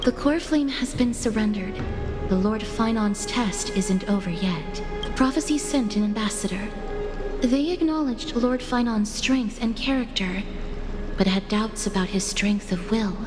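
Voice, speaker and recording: A woman playing a gentle, solemn young attendant in a fantasy game, speaking with formal, measured gravity. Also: medium pitch, natural voice. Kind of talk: dramatic fantasy game narration. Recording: long hall reverb. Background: loud music.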